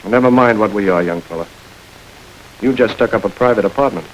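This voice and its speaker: An actor playing a smooth, calculating gang boss in a 1930s crime film, calm and commanding. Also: male voice, on the low side, fairly quick, a little hiss or hum, close to an everyday voice, low-quality recording. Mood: calm authority. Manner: cool, controlled, quietly commanding. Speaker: gang chief.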